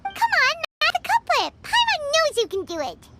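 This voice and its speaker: A woman playing a small, excitable, childlike fairy companion in a video game, exclaiming and talking about herself in the third person. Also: very high voice, normal speed, very noisy, full character voice. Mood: excited. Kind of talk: video game character acting.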